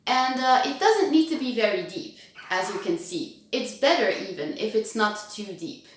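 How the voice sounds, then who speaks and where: A woman's voice: a little high, very animated, normal speed, a little hiss or hum. Bubbly woman vlogging in an echoey kitchen. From Belgium. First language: Dutch. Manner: chatty, bubbly, talks to her owl and viewers. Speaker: owl-keeping vlogger. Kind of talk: casual tutorial vlog. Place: home kitchen, indoors. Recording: very echoey room.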